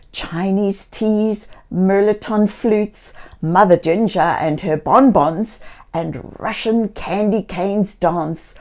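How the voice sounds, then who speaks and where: A woman reading a picture book aloud to young children in a theatrical way, slipping into hushed tones and exclamations and gently questioning her listeners. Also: medium pitch. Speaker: children's storybook reader.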